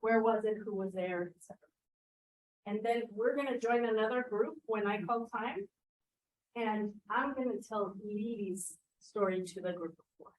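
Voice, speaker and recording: A woman's voice: mid-range voice, normal speed. A middle-aged woman leading a teaching workshop in a classroom, engaging the group with questions and echoing their answers. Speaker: workshop presenter.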